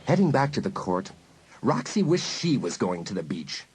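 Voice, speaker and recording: A man's voice: fairly low pitch, very animated, normal speed, noisy background. A man reading scripted story narration as the narrator of an audiobook.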